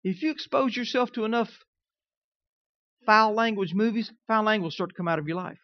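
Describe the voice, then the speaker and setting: High-voiced man preaching with a Southern twang. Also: brisk, dry recording. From Kansas. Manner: conversational preaching, often checks in with listeners. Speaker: pastor. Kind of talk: sermon. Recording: dull, dry recording.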